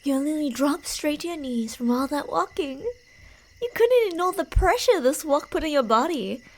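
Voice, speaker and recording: High-voiced woman as a teasing, creepy yandere stalker. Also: rough recording. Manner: playful, menacing, taunting, dropping into whispers. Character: creepy, teasing, delusional female yandere stalker narrating her prey's path. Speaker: ASMR roleplay performer. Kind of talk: ASMR horror roleplay, second-person narration.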